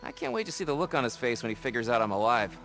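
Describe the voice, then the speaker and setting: A relieved, friendly male hunter, with a lively, rising and falling way of talking. A game score plays behind him. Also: medium pitch, rapid-fire, creaky, soft background music.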